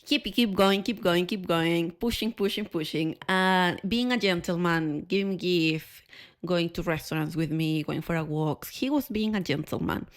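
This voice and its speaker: A woman from Chile with a Chilean Spanish accent tells personal stories to camera in an expressive, candid way, often repeating words for emphasis. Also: lowish voice, moderate pace. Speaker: podcast host.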